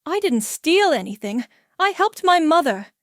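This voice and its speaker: Sex: woman voicing a man. Figure: a woman playing a furious husband, accusing and pressing. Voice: fairly high, dry close sound. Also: lively intonation, a little slow, dry recording. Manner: angry, pressing, raised voice. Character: furious middle-aged husband, pleading and blaming.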